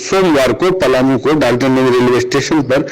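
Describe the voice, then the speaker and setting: Man, Indian accent, radio correspondent, mid-pitched voice, scripted news report. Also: moderate pace, crowd noise, low-quality recording.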